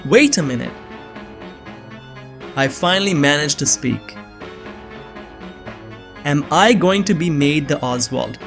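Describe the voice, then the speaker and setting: A man as a fairly high-voiced young man, earnest, uneasy, measured first-person visual novel narration, faint music. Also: expressive, vocal fry, a bit bright, exaggerated voice. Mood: shocked, anxious.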